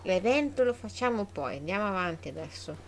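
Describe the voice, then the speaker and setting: A woman's voice: mid-range voice, animated, quick pace, background noise. An Italian woman giving casual live commentary on a game, informal and thinking aloud, often repeating her words. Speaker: game streamer. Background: game music.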